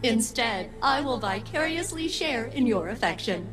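A woman's voice: fairly high pitch, animated, unhurried, over music. A woman voicing a polite, earnest female machine intelligence in a video game, speaking precisely.

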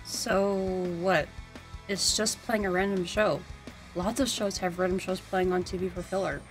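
A woman's voice: on the low side, steady tone, unhurried, faint music behind. A woman co-hosting a scripted commentary on a cartoon, in conversational banter. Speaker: co-host.

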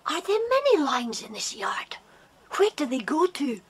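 A woman's voice: sits high, big pitch swings, a little slow. A woman playing a friendly, curious English boy in a children's audio drama.

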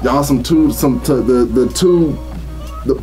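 A male interview host in his thirties speaks at a middle pitch with a mellow, dark tone. He asks questions hesitantly, often stammering and repeating words. Soft music plays faintly behind him in a studio room. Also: a little slow. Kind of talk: casual interview. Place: small recording studio room.

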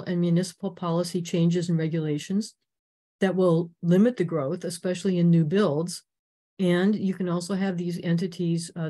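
An older woman from Canada giving an earnest, informative slide talk on a video call, clearing her throat now and then. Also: fairly low pitch, dark tone. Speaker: presenter.

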